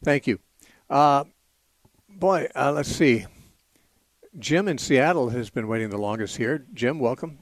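A middle-aged man hosts a call-in radio show, speaking from a close studio mic with a dry sound. He talks in a thoughtful, searching way, often restarting his sentences. When time runs short he moves callers along briskly. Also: medium pitch, lively intonation, no room sound. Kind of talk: call-in radio Bible talk. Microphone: studio microphone.

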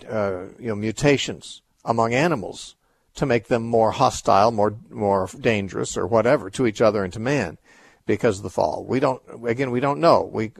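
A man's voice: on the low side, gravelly, no echo. A man hosting a radio call-in show, answering Bible questions in a thoughtful, explanatory way with frequent restarts.

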